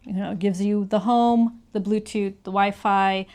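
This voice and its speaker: Lady co-hosting a tech review, average pitch, informal, explains stuff step by step. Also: steady tone, normal speed, a little mellow. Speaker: co-host of a gadget review. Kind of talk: casual product review and demonstration.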